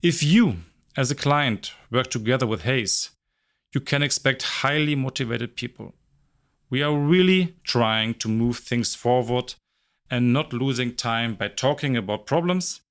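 Director, man, 40s; confident, brisk sales-style delivery. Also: medium pitch, a bit bright. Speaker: recruitment company director. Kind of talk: scripted self-introduction.

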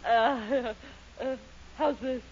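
An actress playing a vain, easily flattered landlady in 1940s radio comedy, fluttery, affected and gushing. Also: on the high side, very slow. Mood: thrilled. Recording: muffled noisy radio recording, audience behind.

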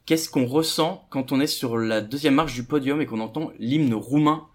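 A man's voice: mid-range voice, normal speed, darkish tone. A man with a mid-range voice hosts an interview podcast. He asks his questions in a casual, chatty way, often restarting his sentences. The recording was made on site in a ministry office. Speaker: podcast host.

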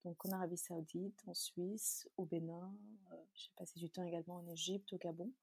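Woman, soft dark voice, explanatory. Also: lowish voice, little pitch movement, fairly quick, slightly rough, dry recording. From Chad. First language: Tazaga. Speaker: interview guest, beauty brand founder. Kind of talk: conversational interview answers.

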